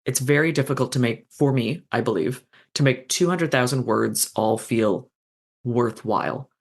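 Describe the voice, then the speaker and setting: An author being interviewed, talking casually and reflectively about craft in a halting, thoughtful way with some slang and swearing. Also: medium pitch, creaky, slightly rough.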